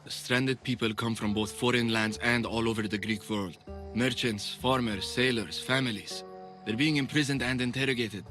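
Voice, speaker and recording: A man's voice: medium pitch, fairly even intonation, moderate pace, music in the background, natural voice. A man playing a sombre informant of ancient Greece in a dramatic video game. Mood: sombre, concerned.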